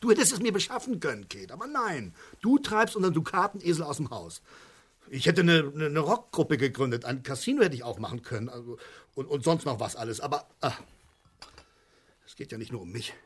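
Husky, blustering, animated father. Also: on the high side, fairly quick. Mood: grandiose grumbling. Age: middle-aged. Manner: nagging, exclamatory, stammering over grand lists. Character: blustering middle-aged father, greedy dreamer, reproachful and grandiose. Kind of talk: naturalistic radio play acting.

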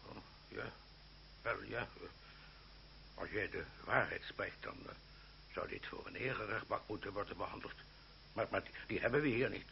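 An actor playing a hot-tempered, gruff older aristocratic uncle in a radio drama, stammering and exclaiming as he blusters. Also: fairly low pitch, normal speed, pauses often. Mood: subdued, pleading. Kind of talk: Dutch radio drama acting. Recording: muffled, noisy, humming old radio recording.

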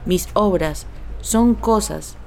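A woman's voice: medium pitch, slow, gravelly, darkish tone, soft background music. A woman giving spoken documentary testimony in a scripted style. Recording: noticeable urban background noise.

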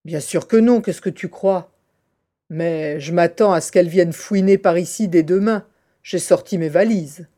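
A woman's voice: on the low side, measured pace, mellow, close to an everyday voice. A woman playing a cold, scheming scientist villain in an audio drama, speaking in a curt, imperious manner. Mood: irritated. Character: cold, scheming female scientist in a kidnapping plot.

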